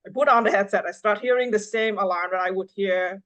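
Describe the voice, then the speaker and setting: A woman's voice: mid-range voice, fairly bright tone. Woman from Vietnam. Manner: halting, frequent fillers and restarts. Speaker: neonatology fellow. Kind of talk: panel discussion.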